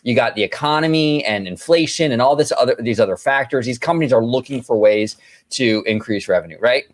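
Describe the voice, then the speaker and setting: A man's voice: mid-range voice, a little fast. A man hosting a casual podcast, brash and chatty, swearing freely and repeating his phrases as he riffs. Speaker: podcast host. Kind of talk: casual chat.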